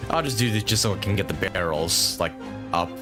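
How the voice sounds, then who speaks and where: Low, lively male game streamer. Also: fairly quick, music in the background. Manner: informal, thinking aloud, repeats short phrases. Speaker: game livestreamer. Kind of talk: casual live gameplay commentary.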